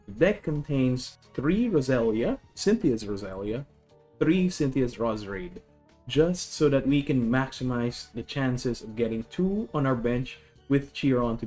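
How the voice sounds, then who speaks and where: A man's voice: medium pitch, darkish tone. A man with a Filipino accent chatting casually on a live stream, explaining a card deck, often correcting himself and greeting viewers. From Philippines.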